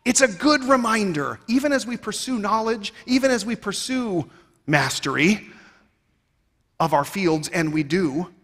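Man, 30s, keynote speaker; higher voice, emphatic rhetorical delivery, long hall reverb. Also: lively intonation, unhurried. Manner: emphatic, rhetorical, swinging between loud and quiet. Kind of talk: conference keynote speech. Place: stage of a large conference hall. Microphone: headset mic.

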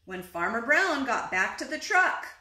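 Bright, expressive woman reading to children. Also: fairly high pitch. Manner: expressive, with drawn-out words and asides to young listeners. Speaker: children's story reader and teacher. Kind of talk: read-aloud picture book narration for young children. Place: small living room, indoors on a couch. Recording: roomy echo.

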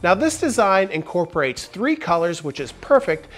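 A man's voice: high-pitched, sing-song, moderate pace, noisy background. A man in his forties, a woodworking host, narrating a step-by-step tutorial in an upbeat, emphatic way in a large workshop on a lapel mic. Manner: upbeat, emphatic sentence openers. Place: large workshop with wooden walls.

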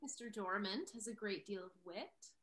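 A young woman playing a pointed, teasing confidante, a lively gentlewoman in a period stage comedy. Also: medium pitch, moderate pace, close to an everyday voice. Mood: teasing, advising. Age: young adult. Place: indoors, on a video call.

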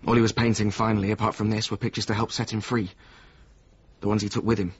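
An actor playing a weary, resentful former apprentice of a painter in a naturalistic radio drama, with a husky voice. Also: male voice, lowish voice, little pitch movement, quick pace, a little hiss or hum, close to an everyday voice. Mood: matter-of-fact. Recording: slightly muffled radio drama recording.

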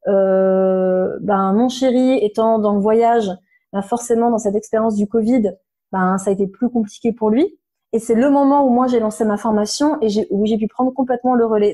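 A woman in her thirties speaks as an interview guest, her voice slightly hoarse yet mellow and warm. She sits fairly high in pitch and talks frankly and animatedly, full of little fillers and restarts. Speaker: interview guest, nutrition therapist. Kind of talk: casual conversational interview.

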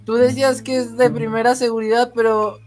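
A man's voice: extremely high pitch, level tone, a little fast, a little mellow. A young man, clowning around in a comedic Minecraft roleplay with a mock-whiny tone. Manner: goofy, mock-whiny, jokey. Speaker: gaming roleplay creator. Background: background music.

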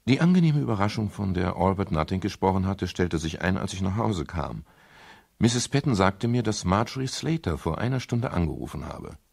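An actor playing a wry, world-weary private detective in a German radio crime drama, speaking in a dry, laconic way. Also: male voice, sits low, expressive, normal speed, vocal fry, a little mellow, heavily acted. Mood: dry narration. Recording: faint hiss, 1970s radio recording.

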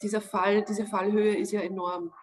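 A woman in her forties with an Austrian accent, speaking in a lively, emphatic way as a guest on a video-call interview. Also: medium pitch, moderate pace. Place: room at home. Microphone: video call webcam.

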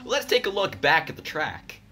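A man playing an excitable, jovial sports commentator in a comedic radio play, with an animated announcer delivery. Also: fairly high pitch, normal speed, faint music behind, full character voice. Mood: excited.

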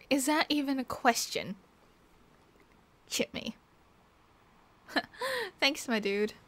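Woman acting a high-voiced playful young Australian. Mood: playful, upbeat. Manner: playful, slangy, giggly. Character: cheerful, teasing young woman at a beach party. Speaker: roleplay voice actor. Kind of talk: casual ASMR roleplay, one side of a chat.

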